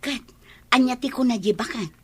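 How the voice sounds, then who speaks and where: A woman's voice: fairly high pitch, moderate pace, a little mellow, husky, no echo. An actress with an Ilocano Filipino accent playing a nosy, tale-bearing village woman in a comedy radio drama. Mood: curious.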